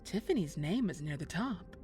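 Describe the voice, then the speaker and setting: A woman's voice: low-pitched, big pitch swings, moderate pace, warm tone, soft background music. An actress playing a sly villainous woman in an audio drama, condescending with feigned sweetness. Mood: insinuating. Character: sly, scheming villainous old flame, woman. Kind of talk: scripted audio drama acting.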